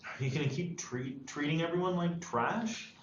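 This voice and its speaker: A man playing an idealistic but troubled male high school senior in a screenplay table read, earnest and argumentative. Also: moderate pace, close to an everyday voice. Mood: confrontational. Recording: dull, echoey room with background noise.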